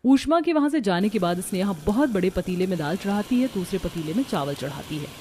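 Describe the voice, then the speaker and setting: A woman's voice: mid-range voice, animated, a little fast, mellow, a little hiss or hum. A woman reading scripted story narration. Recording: music behind the voice.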